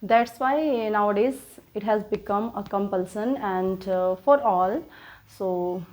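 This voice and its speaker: A woman from India, native in Hindi, with an Indian accent, hosting her own English-learning channel and talking slowly in learner's English, full of fillers and restarts. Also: mid-range voice, a little mellow. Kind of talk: casual motivational talk to viewers.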